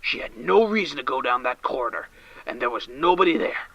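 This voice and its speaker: A man's voice: medium pitch, animated, a little fast, creaky, slightly rough, muffled recording, exaggerated voice. An actor playing a distraught, drinking male caller on the edge of a breakdown, panicky and stammering, in an audio drama. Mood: shaken. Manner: frantic, panicky, shouting and stammering. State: drunk. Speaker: caller to a late-night radio show.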